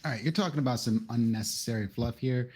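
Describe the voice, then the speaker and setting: A smug, flippant vampire aristocrat, acted by a man at a game table. His voice is rough and husky, his manner glib and dismissive. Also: medium pitch, fast, a little mellow, lightly acted. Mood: dismissive, snide.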